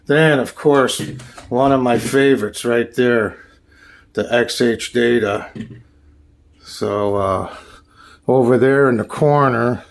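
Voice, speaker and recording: A man giving a casual, unscripted show-and-tell as a hobbyist, halting often and searching for names as he goes. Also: medium pitch. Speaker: hobbyist showing a radio collection.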